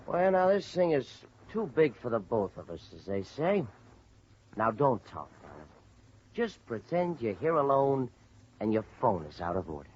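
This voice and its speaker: Male actor for folksy American crook's sidekick, lively swings in pitch, casual manner, muffled 1950s radio crime serial with faint noise. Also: normal speed, close to an everyday voice. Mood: obliging, cautioning. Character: easygoing male gangster henchman. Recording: muffled, noisy old radio broadcast.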